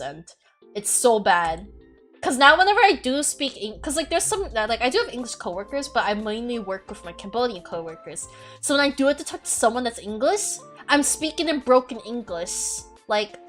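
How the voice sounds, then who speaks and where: A woman's voice: fairly high pitch, a bit bright. A young woman hosting a casual podcast, blunt and giggly, swearing freely and rambling with restarts. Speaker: podcast host and streamer. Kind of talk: casual chat.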